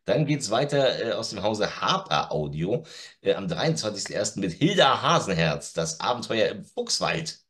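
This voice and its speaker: A man's voice: lowish voice, very animated, moderate pace, fairly bright tone. A middle-aged man, chatting casually and bluntly as he reviews audio dramas. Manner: opinionated, blunt. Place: home room with media shelves. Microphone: video call.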